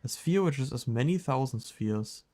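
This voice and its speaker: A man's voice: mid-range voice, animated, normal speed, dark tone. A man streaming a video game, chatting casually and musing aloud in a self-deprecating way as he plays. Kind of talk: casual gameplay chat, reading clues aloud.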